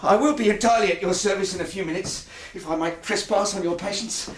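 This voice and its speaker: An actor in a radio drama playing a distraught, terrified businessman, halting and stammering but formally polite. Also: high-pitched, background noise, natural voice. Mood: shaken, courteous. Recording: roomy, echoing interior.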